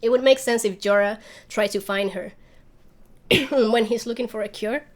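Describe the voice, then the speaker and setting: A woman's voice: fairly high pitch, fairly even intonation, normal speed. A woman hosting a livestream, chatting casually and rambling as she thinks aloud while answering viewer questions. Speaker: YouTube channel host.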